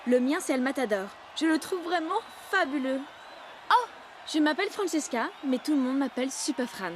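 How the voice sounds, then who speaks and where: A woman voicing a bubbly, devoted young female football fan in a French cartoon, gushing and quick to take offence. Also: sits high, expressive, a little hiss or hum. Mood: gushing, cheerful. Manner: gushing, exclamatory.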